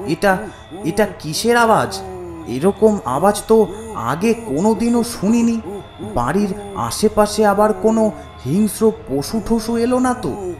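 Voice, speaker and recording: Man playing anxious high-pitched village father. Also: animated, a little slow, music in the background. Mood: frightened. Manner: worried, pleading, cautious. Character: anxious, timid village father of a grown son. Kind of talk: animated horror cartoon acting.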